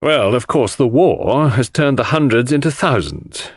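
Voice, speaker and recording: A man voicing an exasperated, outspoken English country gentleman with slangy upper-class talk in an audiobook. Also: medium pitch, expressive, unhurried, breathy edge, no room sound, studio quality. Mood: indignant. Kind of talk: audiobook character dialogue.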